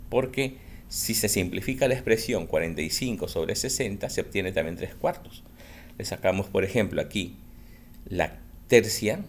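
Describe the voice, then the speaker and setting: A male school teacher giving a recorded math lesson for children, explaining slowly and patiently and answering his own questions. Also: low-pitched, slight background noise.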